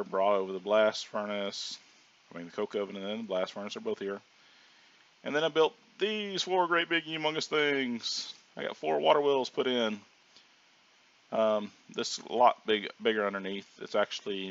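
A hoarse man casually narrating his own gameplay as a channel host, rambling with plenty of ums and restarts. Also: lowish voice, normal speed, fairly bright tone. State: hoarse from sinus trouble. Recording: dull lo-fi audio.

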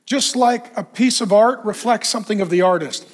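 A middle-aged man preaching a sermon on a church stage, animated and conversational with self-deprecating humor, somewhat hoarse and clearing his throat. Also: high-pitched, moderate pace. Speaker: pastor.

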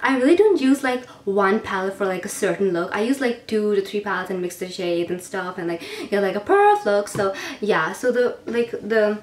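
A woman's voice: mid-range voice, expressive, moderate pace, hardly any pauses. Teen beauty vlogger, 18, woman from Pakistan, rambling chatty delivery, slightly distant camera mic in a roomy bedroom with faint hiss. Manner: chatty, rambling, heavy use of "like". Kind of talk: casual Q&A vlog. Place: bedroom, indoors. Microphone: camera mic at a short distance. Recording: echoey room, a bit distant, faint hiss.

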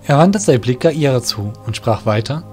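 Male audio drama narrator, medium pitch. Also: animated, moderate pace, darkish tone, soft background music.

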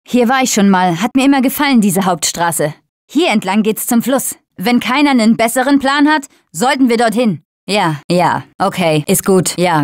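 A woman's voice: medium pitch, dry recording, studio quality, natural voice. An actress voicing a tough, wry young female survivor of a zombie apocalypse in short video game callouts. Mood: casual, agreeable.

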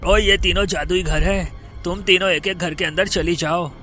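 A man's voice: sits high, steady tone, normal speed, sharp tone, faint music behind, pitched above the performer's own voice. A man voicing a commanding magical moon spirit. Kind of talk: animated moral story.

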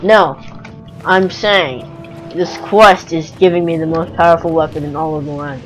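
A young man chatting casually over a video game with a friend, excitable, sarcastic and sweary. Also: on the high side, normal speed, poor audio. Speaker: gamer commentating over gameplay. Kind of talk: casual gameplay chat with a friend. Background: game music and sounds.

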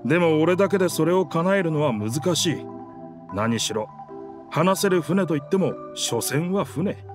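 A man's voice: on the high side, lively intonation, unhurried, music in the background. A man voicing a proud talking pirate ship in a video game, with boastful, theatrical, old-fashioned speech. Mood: earnest, wistful. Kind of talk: Japanese video game character dialogue.

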